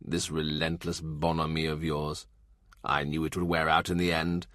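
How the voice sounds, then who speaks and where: A man reading an audiobook voices a cold, ironic statesman who speaks in a soft, low, amused murmur. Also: flat delivery, moderate pace, no room sound, clean studio sound. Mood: scornful. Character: cold, ironic older male statesman, quietly scornful.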